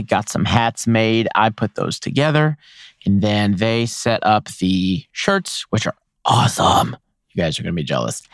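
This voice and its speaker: Slightly hoarse, excitable man podcasting. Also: lowish voice, dry recording, clean studio sound. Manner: enthusiastic, rambling, laughs often. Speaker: podcast host. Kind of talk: casual solo podcast recap.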